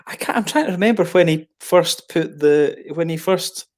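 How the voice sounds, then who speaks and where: A man hosting a casual chat on a stream, talking in halting starts and laughing often. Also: a little high, darkish tone. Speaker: stream host.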